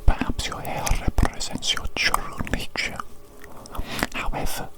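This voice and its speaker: A woman performs an ASMR roleplay entirely in whispers. She speaks very slowly and soothingly, coaxing and repeating little phrases as a doctor who fusses tenderly over a big creature.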